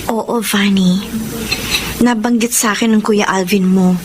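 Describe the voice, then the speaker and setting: A woman's voice: medium pitch, fairly even intonation, unhurried, background noise, natural voice. An actress in a Filipino radio drama playing an earnest, devoted young girlfriend who is easily hurt, with a pleading, emotional delivery. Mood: concerned urging.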